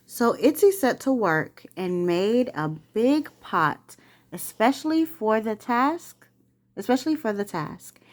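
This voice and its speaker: Expressive, slow-reading woman, lively pitch. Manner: prompts the young reader with words. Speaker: mother reading with children. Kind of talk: expressive storybook read-aloud.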